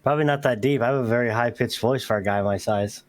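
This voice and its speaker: Young male podcast co-host, relaxed, mid-pitched voice, explains things at length. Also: fast talker, slight background noise. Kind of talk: casual chat.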